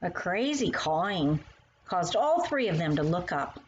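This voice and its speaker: A woman narrating a children's story as its author, in an expressive style that acts out the dialogue. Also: on the low side, measured pace, dull audio, a little hiss or hum.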